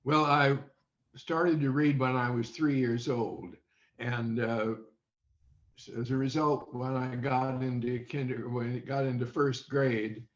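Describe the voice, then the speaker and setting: An American man in his eighties answers as an interview guest, recollecting slowly and deliberately. His delivery is halting and unhurried at a middling pitch. The call audio is muffled and quite roomy. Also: steady tone. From Indiana, United States. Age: 80s. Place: indoors on a video call.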